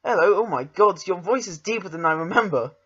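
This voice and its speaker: A man's voice: high-pitched, lively intonation, fairly quick, sharp tone, breathy edge, dull audio. A young man with an English accent, chatting casually as a podcast host in a hesitant, self-deprecating way.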